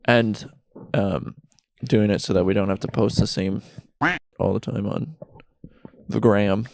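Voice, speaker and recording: A relaxed young man in easy podcast banter, his voice sitting a bit low with a gravelly edge. The sound is dry and close, recorded in a basement. His talk rambles loosely, packed with fillers. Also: a little slow, dry recording. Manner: laid-back, frequent "like" and "yeah" fillers. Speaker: podcast co-host. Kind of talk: casual chat.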